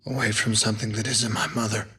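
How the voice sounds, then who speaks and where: A man's voice: fairly low pitch, steady tone, fast, bright, forceful tone, breathy edge, heavily acted. An actor playing a weary, defiant man in a television science fiction drama. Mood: guarded. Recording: noisy TV soundtrack clip with ambience.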